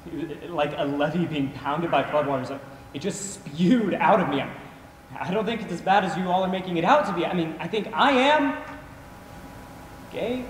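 A young man playing a wounded, rejected young man in a stage play, halting and confessional. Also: fairly high pitch, natural voice. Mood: pained, confessional. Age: young adult. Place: theater stage, indoors. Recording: echoey theater, distant, noisy.

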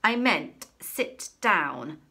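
A woman voicing a pompous, furious headmistress who barks and booms her orders. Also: moderate pace, fairly bright tone. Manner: barking, booming, curt. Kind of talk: storybook read-aloud.

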